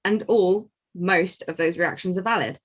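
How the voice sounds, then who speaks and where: A woman's voice: on the low side, moderate pace, close to an everyday voice. A woman playing a blunt, candid character at a musical comedy table read.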